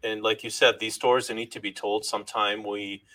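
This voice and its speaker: A man's voice: on the low side, level tone, moderate pace, sharp tone. A middle-aged man originally from Lebanon, a guest in an interview, telling his life story earnestly and thanking God often, with frequent restarts.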